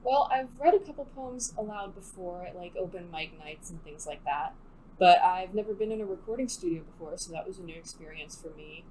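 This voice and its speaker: Lowish, mellow-voiced woman in casual interview. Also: expressive, brisk, low-quality recording. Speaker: poet being interviewed. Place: recording studio.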